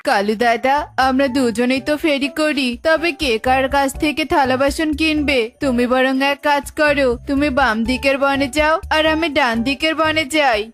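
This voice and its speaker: A woman's voice: sits high, fairly even intonation, moderate pace, few pauses, fairly bright tone, soft background music. A woman voicing an honest, friendly little bird peddler in a children's cartoon, polite and obliging. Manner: polite, obliging, calling out wares.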